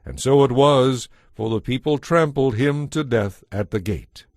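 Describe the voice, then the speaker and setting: A man's voice: mid-range voice, a little slow, darkish tone, dry recording, studio quality. A man reading scripted narration for an audio Bible.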